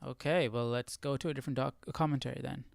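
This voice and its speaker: A man's voice: medium pitch, steady tone, quick pace, gravelly, over music. A man hosting a casual live study session, thinking aloud in a tentative, questioning way. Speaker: livestream Bible study host.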